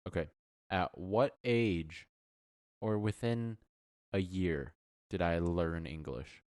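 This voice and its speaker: Young man, native Thai speaker, low voice, laid-back, short replies, dry sound. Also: slow pace, a little mellow, dry recording. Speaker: podcast co-host. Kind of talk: casual chat among friends.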